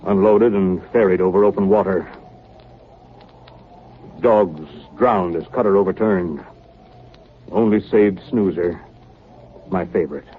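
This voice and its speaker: Stern, clipped sea captain, tinny old radio. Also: male voice, deep voice, measured pace, pauses often. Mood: grim, weary. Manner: clipped, terse log-like delivery and barked orders. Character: stern, commanding ship's captain in the Arctic. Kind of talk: 1930s radio acting. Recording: muffled, noisy 1930s radio broadcast recording.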